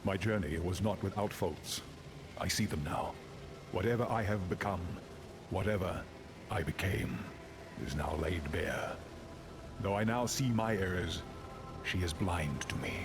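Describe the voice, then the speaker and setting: A man's voice: deep voice, unhurried, pauses often, noisy background. A man playing a tormented, commanding father fallen into madness in a fantasy game, grandiose and declamatory. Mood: remorseful. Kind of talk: theatrical fantasy game acting. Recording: echoing voice under loud music. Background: loud game music.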